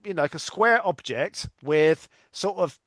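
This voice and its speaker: A man's voice: a little high, animated, unhurried, no echo. A middle-aged British man chatting casually, blunt and self-deprecating, as a podcast guest. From United Kingdom. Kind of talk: casual chat.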